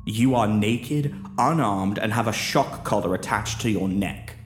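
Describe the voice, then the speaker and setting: A man's voice: lowish voice, unhurried, close to an everyday voice. Man acting a grim veteran prisoner, roomy echo. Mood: grim resignation. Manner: emphatic, fatalistic. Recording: roomy echo, music behind.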